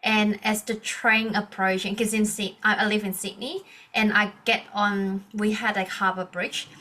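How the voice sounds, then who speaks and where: A woman's voice: mid-range voice, little pitch movement, normal speed, bright, forceful tone. A woman in her thirties from Hong Kong, speaking English with a Hong Kong accent as a non-native speaker, earnestly telling her personal story as a podcast guest over a video call, with frequent restarts. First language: Cantonese. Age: 30s. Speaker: guest, leadership coach.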